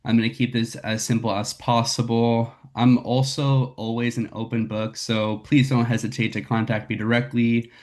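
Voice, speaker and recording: Man, young adult, raspy from a cold, casual video call, filler-heavy. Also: mid-range voice, little pitch movement, normal speed, hardly any pauses, darkish tone. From Northern California. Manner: candid, filler-heavy, says "like" and "um" a lot. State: raspy from getting over a cold. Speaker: sales rep presenting to a team.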